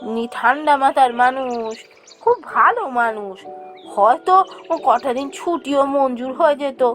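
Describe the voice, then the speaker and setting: Woman acting a high-pitched, caring village wife. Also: lively intonation, unhurried, a bit bright, faint music behind. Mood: puzzled, resigned. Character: caring, worried village wife working as a maid. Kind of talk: cartoon voice acting.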